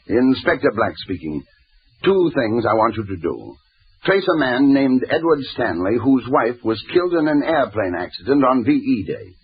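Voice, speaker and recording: An actor playing a grave, intense Scotland Yard inspector, terse and portentous, in 1940s radio crime drama style. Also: male voice, mid-range voice, big pitch swings, moderate pace, breathy edge, exaggerated voice. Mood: brisk, commanding. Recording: tinny vintage radio broadcast.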